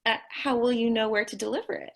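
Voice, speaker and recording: A woman's voice: fairly high pitch, steady tone, fast, vocal fry, fairly bright tone. A woman playing a naive, sweet, scatterbrained young woman in an old-time radio comedy, with an innocent, hesitant delivery. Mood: guileless.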